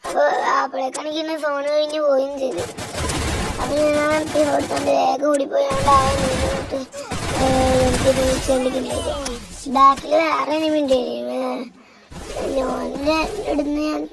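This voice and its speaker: A gamer with a northern Kerala Malabar accent gives casual, halting commentary, recounting gameplay moves step by step. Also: sits high, level tone, creaky, poor audio. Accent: northern Kerala Malabar Malayalam.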